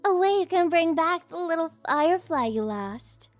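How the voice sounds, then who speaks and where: A woman's voice: extremely high pitch, lively intonation, no room sound, faint music behind. A woman playing a playful, taunting young female trickster in an ASMR audio roleplay, with a coy, mock-innocent way of drawing out her words. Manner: teasing, coy, mock-innocent, drawn-out phrasing. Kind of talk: ASMR audio roleplay, scripted character acting.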